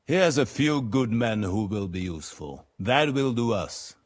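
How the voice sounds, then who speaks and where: A man playing a shrewd, weary middle-aged lord in a video game, speaking in a formal, measured and commanding manner. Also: lowish voice, expressive. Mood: composed. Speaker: medieval nobleman. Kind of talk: video game cutscene acting.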